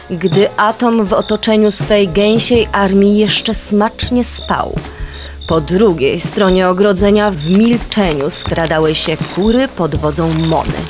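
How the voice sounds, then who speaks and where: A woman narrating a children's story in a scripted storybook style. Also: mid-range voice. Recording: music bed behind voice.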